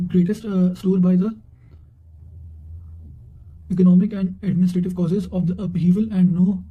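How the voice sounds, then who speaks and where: A man's voice: sits high, mellow, low-quality recording. A man reading formal study material aloud in a careful way, often stumbling over words and correcting himself. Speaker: reader of history study material. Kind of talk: reading quotations aloud for exam revision.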